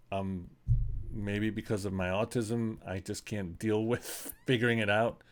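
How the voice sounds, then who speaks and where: A man's voice: on the low side, darkish tone, smooth. A middle-aged man in his home studio, talking loosely through a mixing tutorial with lots of ums and uhs. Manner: loose and rambling, many ums and uhs, self-deprecating. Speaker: musician showing his vocal mixing. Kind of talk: casual screen-recorded tutorial.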